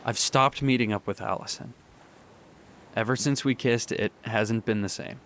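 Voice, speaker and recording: A young man playing a heartbroken boyfriend in an audio drama monologue, speaking earnestly and haltingly. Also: medium pitch, fairly bright tone, a little hiss or hum, close to an everyday voice. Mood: pleading, regretful. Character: heartbroken young boyfriend pleading with his distant partner.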